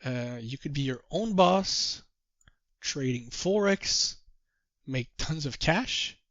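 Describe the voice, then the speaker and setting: A man with a French Canadian accent, a native French speaker, presenting to a group call in halting English and telling his story with repeated refrains. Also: on the high side, expressive, fairly bright tone, dry recording, highs cut off. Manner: self-deprecating, halting English. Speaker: trading course presenter.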